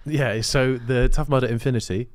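A man's voice: medium pitch, fairly even intonation, moderate pace, no echo. A British man in his twenties co-hosting a podcast, chatting casually in a matter-of-fact, explanatory way into a close microphone. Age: 20s. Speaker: podcast co-host. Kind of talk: casual chat. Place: small office-style studio, indoors. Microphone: close podcast microphone on stand.

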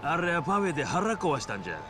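An actor playing a brash middle-aged yakuza underboss in a video game, with a Hiroshima accent and rough, blunt dialect. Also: male voice, fairly high pitch, big pitch swings, fast, noisy background. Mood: scolding. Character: brash, gruff male yakuza underboss, loyal to his elder. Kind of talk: acted video game cutscene dialogue.

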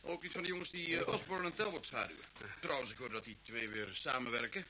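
Obedient police aide: medium pitch, crisp forceful tone, vintage radio serial with slight noise. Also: male voice, fast talker. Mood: dutiful. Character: dutiful, deferential male police subordinate. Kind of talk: vintage Dutch radio drama acting. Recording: old radio recording with faint noise.

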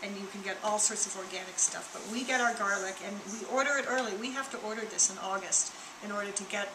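A woman who runs a farm stand, explaining in a chatty, eager way and speeding up when excited. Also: mid-range voice, fast talker. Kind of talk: casual explanation to a visitor. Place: inside a small farm stand. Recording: echoey room with loud background noise.

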